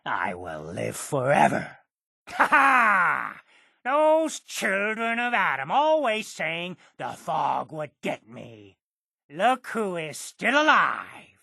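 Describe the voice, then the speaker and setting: A creaky, ancient islander for a video game, cranky and boastful. The delivery is slow and scoffing, with wild swoops in pitch. The sound is dry and close. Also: wildly swooping pitch, bright, forceful tone, no room sound, heavily acted. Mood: gleeful, defiant. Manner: scoffing, grumbling, slow and dramatic. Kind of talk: video game character acting.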